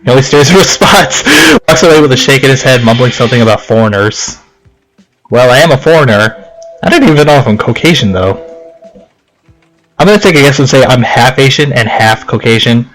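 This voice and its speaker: A young man records a casual let's play in a middle-pitched voice. He reads on-screen text aloud and drifts into chatty, rambling asides. Game music plays behind him. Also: lively intonation, a little fast, a bit bright, low-quality recording.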